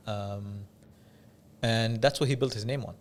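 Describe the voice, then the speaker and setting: Measured male podcast guest, conversational storyteller. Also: lowish voice, a little hiss or hum. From Dubai, UAE. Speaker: podcast guest, business coach.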